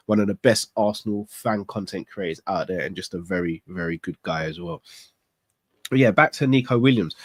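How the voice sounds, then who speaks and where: A man's voice: medium pitch, darkish tone, no room sound. A man, congested from a cold, hosting a live fan stream in candid casual chat, thinking aloud with rhetorical questions. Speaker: football fan channel host. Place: small studio set with club decor.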